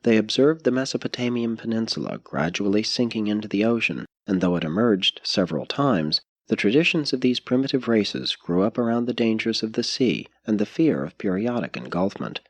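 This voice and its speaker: A man reading a scripted audiobook narration in a measured, formal way with clearly phrased sentences. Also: lowish voice, lively intonation, no echo. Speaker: audiobook reader.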